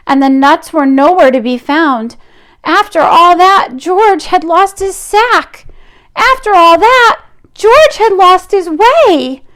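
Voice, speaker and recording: A woman reading a picture book aloud to children, expressive, with drawn-out emphasis and chatty asides. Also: sits high.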